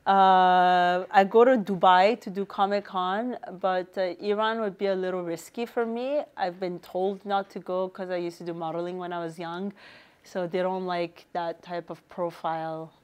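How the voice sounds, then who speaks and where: A woman's voice: fairly low pitch, fairly even intonation, moderate pace, clean tone. A young woman from Canada, a guest artist chatting in an interview in her studio, laughing easily and repeating words as she starts. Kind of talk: casual interview chat. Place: art studio indoors.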